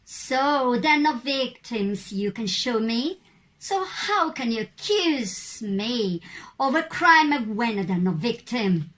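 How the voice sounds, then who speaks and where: A woman acts out a defiant female citizen in a puppet show. She speaks slowly and firmly, with a highish voice and a very lively rise and fall. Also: full character voice. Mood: firm, warning. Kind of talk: scripted puppet show dialogue.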